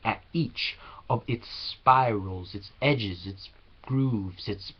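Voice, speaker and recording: A man reciting slam poetry with earnest, deliberate, dramatic phrasing, on a low-quality home recording. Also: slightly rough, lo-fi sound. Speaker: slam poet.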